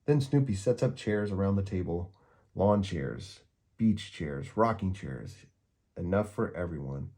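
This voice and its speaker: Mellow, husky man reading a storybook. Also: low voice, animated, a little slow. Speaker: story time reader. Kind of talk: children's picture book read-aloud.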